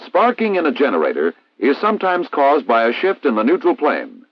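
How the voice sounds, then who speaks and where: An American man reading a scripted instructional narration in a formal, measured, didactic way, as in a 1960s military training film. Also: mid-range voice, wildly swooping pitch, dry recording. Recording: dull vintage 1960s film soundtrack.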